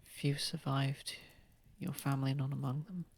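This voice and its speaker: A man voicing a gentle, courtly old vampire in an ASMR roleplay, speaking softly and tenderly, often close to a whisper. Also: mid-range voice, flat delivery. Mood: sorrowful, comforting. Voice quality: soft, breathy, slightly gravelly, often near whisper. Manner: tender, polite, unhurried, soothing. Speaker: ASMR voice actor. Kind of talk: ASMR roleplay, soft intimate acting. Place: close, dry recording. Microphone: close microphone.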